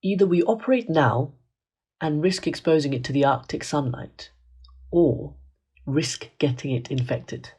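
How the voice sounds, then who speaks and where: An actor voicing a grave ship's doctor in a radio play, speaking slowly in a breathy half-whisper. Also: medium pitch. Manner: slow, hushed, careful delivery. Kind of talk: amateur radio drama acting.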